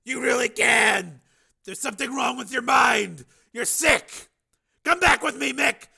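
Man acting out a cyborg dad in a sci-fi story, pleading and yelling urgently, pitched up and sharp. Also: unhurried, vocal fry, slightly rough, exaggerated voice. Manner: urgent, shouting pleas and orders. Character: desperate, pleading cyborg man, a protective father.

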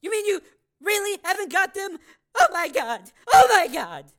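Woman as a frantic estranged mother, high, bright, halting and tearful. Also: expressive. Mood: distraught. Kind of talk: dramatized audiobook acting.

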